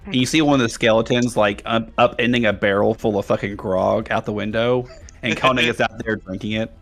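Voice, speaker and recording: A man narrating a tabletop game as game master, casual and halting, with vivid descriptions and easy swearing. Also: on the low side, steady tone, a bit bright.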